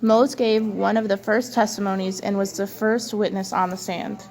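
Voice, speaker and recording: A woman's voice: mid-range voice, little pitch movement, moderate pace, a little mellow, faint music behind, low-quality recording. A woman with a mid-range voice works as a news co-presenter. She reads prepared copy aloud in the format of a scripted report.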